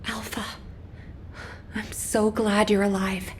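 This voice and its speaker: A woman's voice: mid-range voice, little pitch movement, slow pace, a little mellow, slight background noise, lightly acted. A woman voicing an earnest, devoted young officer who speaks with emotion. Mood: pleading. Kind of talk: game cutscene dialogue.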